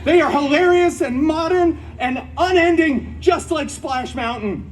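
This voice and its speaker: A man's voice: very high voice, a little slow, background noise. A man playing a campy, wisecracking antihero who tells a fairy tale melodramatically, with snarky asides, at a live theme park show. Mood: indignant, campy. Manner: melodramatic, chatty, breaking into snarky asides to crowd. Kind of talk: theatrical live children's storytelling parody. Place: outdoor theme park stage. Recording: amplified live show over loud background music.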